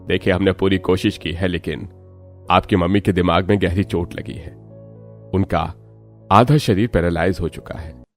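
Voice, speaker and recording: Grave low-voiced doctor a man acting. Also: fairly even intonation, normal speed, faint music behind. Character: grave, careful male doctor breaking bad news. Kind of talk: cartoon story acting.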